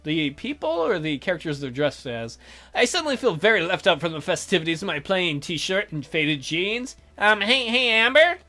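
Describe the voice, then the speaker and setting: A man hosting a let's play, reading a visual novel aloud with expressive, wisecracking commentary. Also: a little high, normal speed. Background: music.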